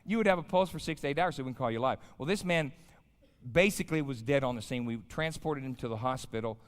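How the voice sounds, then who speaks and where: A man's voice: mid-range voice, fast talker. A man in his fifties with an American accent, preaching informally in a folksy, colloquial way, telling stories and putting questions to his listeners. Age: 50s. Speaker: pastor, guest chapel speaker. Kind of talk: informal chapel sermon with storytelling. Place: large indoor chapel, speaking to an audience. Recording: live hall with long reverb.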